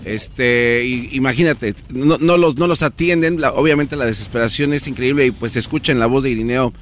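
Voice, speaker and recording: A man's voice: mid-range voice, brisk. A man talking conversationally as a radio co-host. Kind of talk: conversational radio talk, reading out figures.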